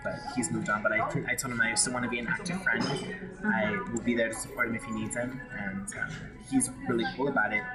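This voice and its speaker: A man's voice: medium pitch, level tone, fast. A man from Hawaii telling his personal story in an interview, frank and emotional, often restarting his sentences. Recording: loud background music.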